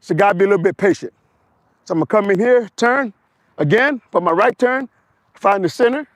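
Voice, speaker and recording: Man, hoarse, high voice, motorcycle instructor, step-by-step coaching, outdoors, wireless mic. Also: lively intonation, a little fast, no room sound, clean studio sound. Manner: step-by-step coaching, repeats cues. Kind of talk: outdoor riding demonstration.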